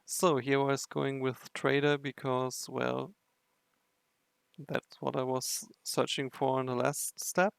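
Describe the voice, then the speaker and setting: A man's voice: mid-range voice, little pitch movement, slow, vocal fry, clean tone, no room sound. A man giving casual playthrough commentary on a game, speaking in a hesitant way as he thinks aloud. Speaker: game video narrator and player.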